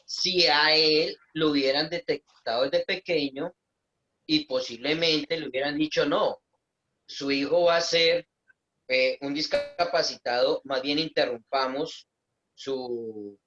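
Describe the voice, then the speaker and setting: Slow, thoughtful man host. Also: on the high side, fairly bright tone, lo-fi sound. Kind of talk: casual online conversation.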